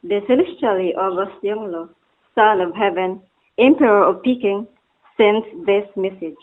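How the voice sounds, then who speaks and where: A woman's voice: medium pitch, moderate pace, narrow, tinny audio, slight background noise, close to an everyday voice. A woman playing a loyal, worried servant who speaks formally and deferentially in a stage drama. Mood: ceremonious. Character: loyal, worried servant bearing messages to the master. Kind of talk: amateur stage-play dialogue, formal archaic English.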